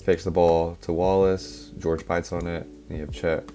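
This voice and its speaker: A young man, a little hoarse from a cough, casually breaking down basketball plays with lots of fillers. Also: sits low, normal speed. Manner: relaxed, filler-heavy, explaining step by step. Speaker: basketball analysis creator. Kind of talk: casual play-by-play film breakdown. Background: background music.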